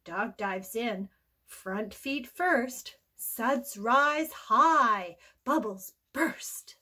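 An older woman reading a children's story aloud in an animated, sing-song, expressive way. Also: a little high, drawn-out words. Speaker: storybook read-aloud host.

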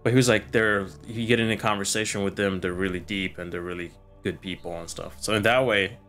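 A young man chatting casually with friends while gaming, relaxed and laid-back. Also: lowish voice, brisk, soft background music. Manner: relaxed, laid-back, says bro and dude.

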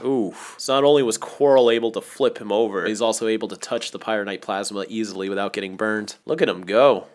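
A man's voice: on the low side, a little fast, few pauses, a little hiss or hum. A man doing casual, opinionated episode breakdown commentary as a video reviewer. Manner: informal, opinionated fan talk.

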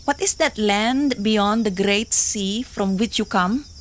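A woman's voice: medium pitch, takes it slowly, slight background noise, lightly acted. An actress playing a passionate, jealous young island woman in an audio drama, teasing and pleading with her lover.

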